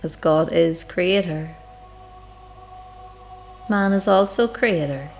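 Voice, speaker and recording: Slow, low-voiced woman leading meditation. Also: vocal fry, a little mellow. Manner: unhurried, reflective, measured with long pauses. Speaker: guided meditation leader. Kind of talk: slow spiritual guided meditation narration. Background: music.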